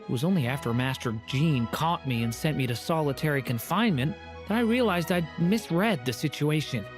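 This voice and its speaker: A woman's voice: low-pitched, expressive, fairly quick, soft background music. A woman playing an earnest, naive young dragon child in a fantasy game, speaking in a thoughtful, wondering way.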